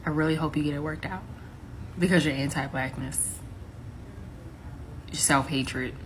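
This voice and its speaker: A woman speaking in an earnest, measured, lecturing way in a response video. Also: deep voice, a little hiss or hum.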